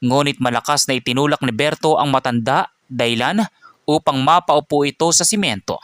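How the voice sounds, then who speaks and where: A man narrating a scripted dramatic story in a steady storytelling manner. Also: mid-range voice, a little fast, no room sound, studio quality.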